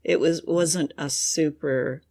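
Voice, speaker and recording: A woman's voice: low voice, steady tone, slow pace, mellow. A woman from Canada co-hosting a podcast in casual discussion. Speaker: podcast co-host.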